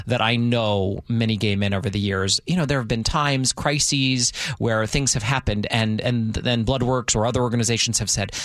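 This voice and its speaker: A man's voice: medium pitch, fairly even intonation, moderate pace, few pauses, a bit bright, no echo, studio quality. Earnest man hosting radio news. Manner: earnest, conversational. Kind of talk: live news discussion.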